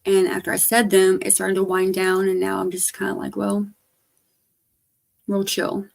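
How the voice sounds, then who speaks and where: A hoarse woman with a gravelly edge, talking at a middling pitch. She rambles casually to her stream audience, letting her sentences trail off softly. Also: monotone, moderate pace. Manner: rambling, trailing off, soft-spoken. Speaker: livestreamer. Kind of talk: casual livestream chat.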